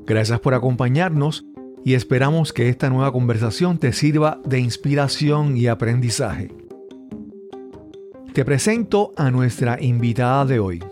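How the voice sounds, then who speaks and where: A man's voice: medium pitch, animated, measured pace, music in the background. A man hosting a podcast interview, talking conversationally with frequent fillers and restarts. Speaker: podcast host.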